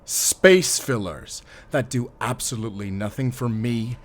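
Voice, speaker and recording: A man's voice: medium pitch, unhurried, natural voice. A man playing a stern, commanding middle-aged father in an audio drama. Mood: furious. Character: wealthy stern father, protective of his son, commanding.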